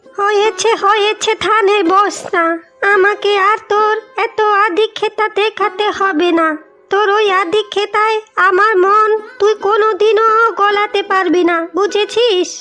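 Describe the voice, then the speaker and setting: Cartoon mother-in-law, older village nag: extremely high voice, bitter and complaining, music underneath. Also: fairly even intonation, a little slow, bright, forceful tone, full character voice. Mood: dismissive. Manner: nagging, insulting, complaining. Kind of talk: Bengali cartoon moral story acting. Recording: music behind the voice.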